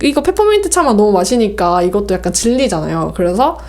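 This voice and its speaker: A woman's voice: mid-range voice, big pitch swings, quick pace, darkish tone. A woman podcast host chatting casually and explaining things step by step. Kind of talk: casual chat, sharing tea recipes.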